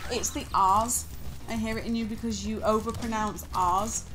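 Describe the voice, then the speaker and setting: Chatty northern English woman, higher voice. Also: steady tone, moderate pace, over music, poor audio. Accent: northern English, East Manchester. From northern England. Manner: chatty, swears freely, teasing. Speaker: streamer co-host. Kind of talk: casual chat while streaming a game.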